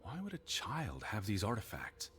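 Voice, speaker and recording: An actor playing an educated, dismissive American male naturalist of the 1920s in a video game, speaking in a measured, formal, pedantic way. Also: fairly low pitch, wildly swooping pitch, a bit bright, a little hiss or hum. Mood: pondering. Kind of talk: scripted game dialogue and reading aloud.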